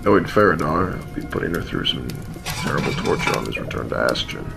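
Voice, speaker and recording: A man acting a wry, world-weary, gruffly protective rebel in a fantasy radio play, with a teasing, matter-of-fact manner. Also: very deep voice, lively intonation, brisk, exaggerated voice. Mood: grave. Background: music under the voice.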